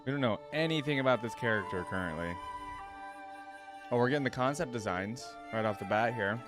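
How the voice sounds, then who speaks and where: A man's voice: mid-range voice, lively intonation, a little fast, over music. A man hosting a reaction video with casual, informal and enthusiastic commentary.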